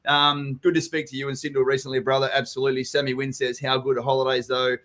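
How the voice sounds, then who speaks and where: A man's voice: medium pitch, level tone, quick pace, fairly bright tone. An Australian man co-hosting a casual live online chat, talking in an informal, blokey way and swearing freely. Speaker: co-host of a property live Q&A, company general manager. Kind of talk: casual live chat, reading out viewer comments. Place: live online video stream.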